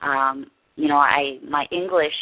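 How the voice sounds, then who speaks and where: Low-voiced woman on a phone line. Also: steady tone. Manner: earnest, halting, many restarts. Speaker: interview guest, production company head. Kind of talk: candid personal interview.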